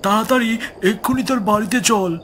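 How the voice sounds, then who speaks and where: A man voicing a frantic teenage village boy who calls out breathlessly and urgently in an animated horror story. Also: sits high, a little fast, slight background noise, pitched above the performer's own voice. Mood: urgent, grave.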